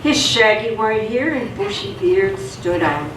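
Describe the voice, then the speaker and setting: A woman's voice: medium pitch, animated, unhurried, natural voice. A woman reading scripted narration for a live radio theatre drama. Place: stage performance in a roomy hall. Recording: echoey hall, distant mic, noisy.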